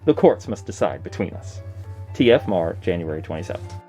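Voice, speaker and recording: A man's voice: deep voice, sing-song, a little fast, dark tone, soft background music. A man playing an indignant nineteenth-century horse seller, declaiming old newspaper notices in a theatrical, scornful manner. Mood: indignant, defiant.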